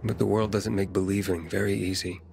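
A man's voice: deep voice, little pitch movement, a little fast, creaky, darkish tone, faint music behind. An actor playing an earnest, plain-spoken male survivor in a video game, talking in a casual, laconic way. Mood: earnest, guarded. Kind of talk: video game cutscene acting.

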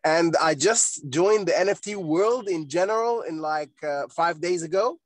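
A man from Egypt joining a video call from his car while driving, asking his question eagerly and a little rushed. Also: on the high side, dry recording. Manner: eager and excited, a bit rushed.

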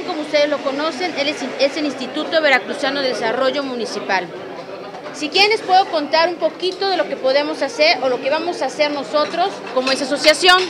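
A high-pitched woman with a Mexican accent makes an official statement to reporters. She stumbles over words and starts them again. A busy crowd surrounds her in the room. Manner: halting, stumbles and restarts. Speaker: municipal official speaking to press. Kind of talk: press conference statement. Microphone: handheld press microphones on table.

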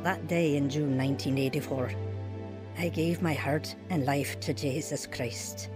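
A woman's voice: low voice, steady tone, unhurried, over loud music. An actress playing a troubled, heartfelt young wife who tells her life story in a scripted radio drama, with a sincere manner. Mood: reflective. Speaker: actor narrating a radio drama. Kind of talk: scripted first-person dramatized testimony.

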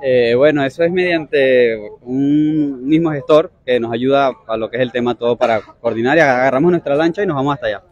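A man's voice: medium pitch, brisk, few pauses, highs cut off. Hesitant young Venezuelan man outdoors. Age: young adult. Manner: hesitant, many fillers and restarts, polite. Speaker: interviewee, migrant. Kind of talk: street interview answers. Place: outdoors at a crowded dock. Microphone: reporter's handheld mic.

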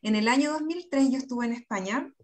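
Interview guest: Chilean woman, fairly high voice, candid conversational delivery, computer mic indoors. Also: a little fast. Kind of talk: casual conversational interview talk. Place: indoor room on a video call. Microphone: computer video-call microphone.

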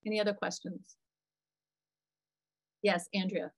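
A middle-aged woman, a psychologist, answering parents' questions in a lively, chatty way on a video call from a small room. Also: medium pitch, unhurried, rough recording. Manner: talkative, animated, answers questions from the chat. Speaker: psychologist presenting a parent webinar. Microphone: computer mic on a video call.

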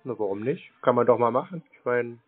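A man's voice: medium pitch, expressive, a little fast, husky, no room sound. Laconic, teasing man on a phone line. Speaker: prank caller baiting scam call centers. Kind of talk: casual phone conversation. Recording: band-limited phone-call audio.

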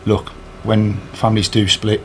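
A man's voice: lowish voice, completely flat delivery, unhurried, a little hiss or hum. A man, answering interview questions earnestly with many fillers and restarts, recorded indoors on a handheld recorder. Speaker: charity match organiser. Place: indoors in front of a sponsor board. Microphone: handheld recorder held by interviewer.

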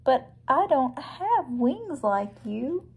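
A woman's voice: high-pitched, sing-song, takes it slowly, breathy edge, narrow, tinny audio, full character voice. A woman voicing a polite, apologetic female animal in a storybook, sighing as she speaks. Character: polite, apologetic female giraffe and penguin mothers.

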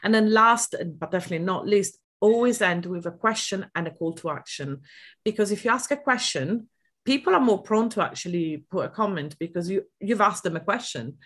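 A woman with an Italian accent, a podcast guest giving social media tips with quirky, lively chat and many fillers over a video call. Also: mid-range voice, fairly quick. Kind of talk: podcast interview. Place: home room.

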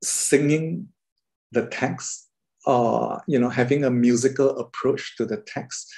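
A Singaporean man, an artist, talking thoughtfully with many pauses and fillers on a video call. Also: mid-range voice, vocal fry, a little mellow, poor audio. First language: Mandarin.

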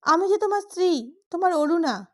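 Narrator lady doing a distraught young wife, pleading, high voice, audio story. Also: animated, moderate pace. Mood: desperate.

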